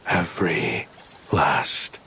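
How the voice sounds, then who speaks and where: An actor playing a cold, vengeful former partner in a game cutscene, speaking slowly with quiet menace over faint music. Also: male voice, low voice, steady tone, slight background noise, full character voice. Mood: cold taunting. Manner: slow, measured, quietly menacing.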